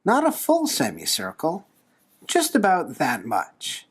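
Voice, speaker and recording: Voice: mid-range voice, huge pitch swings, takes it slowly, slightly rough. A tutorial host talking through a drawing step by step in a playful, encouraging manner. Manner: playful, light humour, encouraging.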